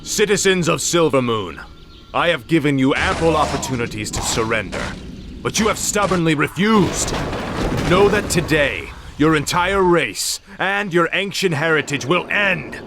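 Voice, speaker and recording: An actor playing a vengeful conqueror proclaiming doom in a game cutscene. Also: male voice, a little high, expressive, moderate pace, over music. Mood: menacing. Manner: proclaiming, menacing.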